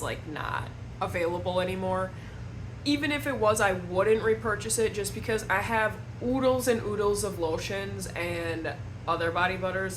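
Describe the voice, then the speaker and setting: A young woman from Wisconsin, slightly hoarse from a cold, chatting to camera at home in a rambling, self-deprecating way as a beauty vlogger. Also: mid-range voice, fairly bright tone, a little hiss or hum, poor audio. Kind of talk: casual chat to camera. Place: at home indoors.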